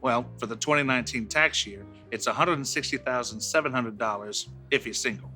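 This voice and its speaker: A man's voice: mid-range voice, a little fast, pushed and bright, soft background music. A man voicing a friendly, folksy barkeep with drawling speech. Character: folksy male barkeep, friendly old-timer. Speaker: bartender.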